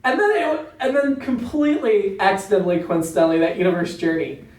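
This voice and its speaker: A man's voice: high-pitched, fairly quick, a little mellow, far from the mic. Amused male interviewer in a roomy space. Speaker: podcast interviewer, show writer. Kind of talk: casual interview chat. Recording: echoey room, off mic, faint noise.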